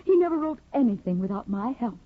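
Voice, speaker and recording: Timid devoted young woman, old radio play, breathy voice, thin phone-like sound. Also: fairly high pitch, sing-song, fast talker. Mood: earnest. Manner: earnest and anxious, soft-spoken. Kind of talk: 1950s radio drama acting. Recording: tinny old radio broadcast.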